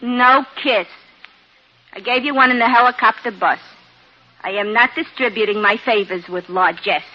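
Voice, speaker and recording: A woman's voice: on the high side, normal speed, faint music behind, close to an everyday voice. An actress playing a prim young working-class woman striving for culture, using pompous big words with affected care, in a 1950s radio drama. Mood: prim, firm. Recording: muffled, noisy vintage radio recording.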